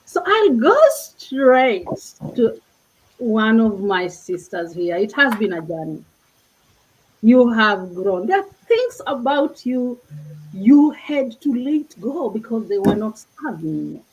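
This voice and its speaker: A woman's voice: on the high side, sing-song, takes it slowly, dull audio, slight background noise. A woman with a Kenyan accent hosts an inspirational panel talk in an earnest, reflective way. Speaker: host.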